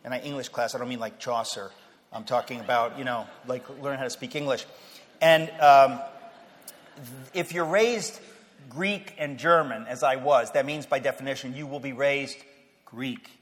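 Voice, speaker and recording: A man's voice: medium pitch, moderate pace, slight background noise. Joking, restarting man in a reverberant hall. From Queens, New York. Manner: conversational and humorous, with stammered restarts and rising emphasis. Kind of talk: casual personal talk.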